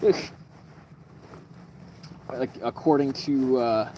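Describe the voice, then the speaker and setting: A man's voice: medium pitch, takes it slowly, warm tone, slight background noise, rough recording. A man in his twenties telling rambling stories on a casual livestream, swearing, with lots of fillers and restarts. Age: 20s. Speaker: livestream host. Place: room lit by kerosene lamp at night.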